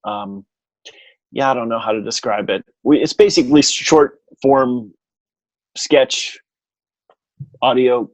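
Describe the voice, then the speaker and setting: A man chatting casually as a podcast guest and telling anecdotes in a halting, wry way, often correcting himself. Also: mid-range voice, fairly even intonation, dry recording, poor audio. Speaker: podcast guest, audio theatre producer.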